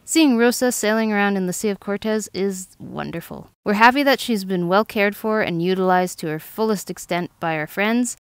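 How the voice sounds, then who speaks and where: Female co-host voice-over, smooth and dry, calm. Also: mid-range voice, normal speed, few pauses, no room sound. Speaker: vlog co-host, sailor. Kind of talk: scripted voice-over narration.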